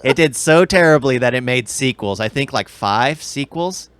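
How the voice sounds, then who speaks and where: A man's voice: medium pitch, animated, normal speed, a bit bright. A man co-hosting a podcast, talking casually about movies and games. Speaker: podcast co-host.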